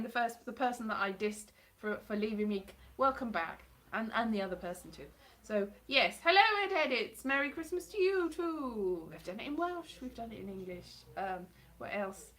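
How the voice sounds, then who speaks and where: Woman, 30s, casual live-stream chatter into a close webcam, self-mocking and sarcastic, slightly high voice. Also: fairly bright tone. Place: home hallway by a staircase, indoors. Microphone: webcam or phone at close range.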